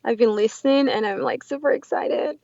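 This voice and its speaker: A woman's voice: high voice, a little fast, gravelly, a little mellow, dry recording. A woman in her twenties with a Cameroonian accent, chatting casually as an interview guest on a slightly muffled recording. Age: 20s. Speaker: podcast guest, entrepreneur.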